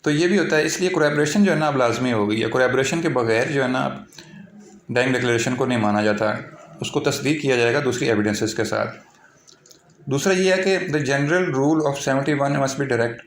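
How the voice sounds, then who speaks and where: A man's voice: medium pitch, normal speed, slight background noise. A man with a Pakistani accent giving an informal explanatory law lecture, repeating himself and mixing English legal terms into his explanations. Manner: repetitive, filler-heavy explaining with English legal terms mixed in. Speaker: law teacher. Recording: echoey, roomy sound.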